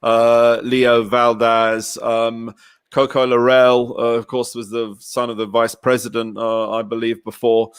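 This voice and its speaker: A man's voice: mid-range voice, level tone, measured pace, clean tone, dry recording. A man hosting a casual interview, talking in an effusive, rambling way like a keen fan. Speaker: show host interviewer.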